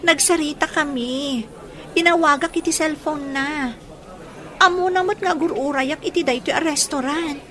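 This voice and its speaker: A woman's voice: high-pitched, normal speed, bright, forceful tone. An actress playing a trusting, anxious young girlfriend in a radio drama, with an Ilocano accent.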